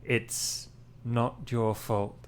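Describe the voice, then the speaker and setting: A man playing a grave priest among monster hunters in a tabletop game, speaking in deliberate, formal, halting phrases. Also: mid-range voice, little pitch movement, slight background noise.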